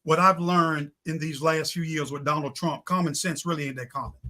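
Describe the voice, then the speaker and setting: High-pitched, bright-voiced preacherly man. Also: fairly even intonation, brisk. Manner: preacherly, builds points in repeated phrases. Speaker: pastor, guest on a talk show. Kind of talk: impassioned political and faith talk.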